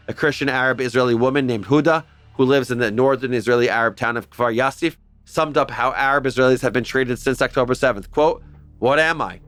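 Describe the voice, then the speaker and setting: Man, mid-pitched, earnest scripted narration, wry asides, faint music underneath. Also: fairly bright tone, smooth, no room sound. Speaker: host.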